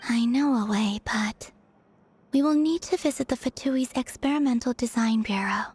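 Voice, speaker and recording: A woman voicing a gentle, solemn moon maiden in a video game, calm and hushed. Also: on the high side, moderate pace, slightly rough. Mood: resolute.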